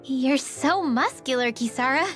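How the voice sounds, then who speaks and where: A woman's voice: high voice, measured pace, faint music behind. A woman voicing a perky, teasing teenage girl in a video game, gushing in a girlish way. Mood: admiring. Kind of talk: video game cutscene acting.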